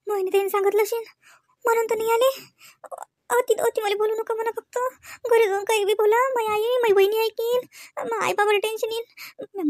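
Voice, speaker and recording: An extremely high, dry-sounding young wife from a comedy cartoon, acted by a woman, with a Vidarbha Marathi accent. She is nervous and flustered, hesitating and muttering her worries to herself. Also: a little mellow, no room sound. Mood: startled, worried.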